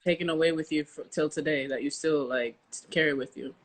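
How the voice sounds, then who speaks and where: A woman's voice: sits low, steady tone, fairly quick, rough recording. An American woman hosting an interview podcast, chatting casually in an informal, slangy and emphatic way. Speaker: podcast host.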